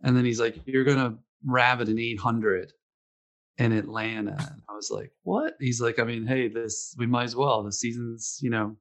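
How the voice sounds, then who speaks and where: A man's voice: mid-range voice, normal speed. A man hosting a casual interview, talking loosely with many fillers and quoted asides. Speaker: podcast host, former runner.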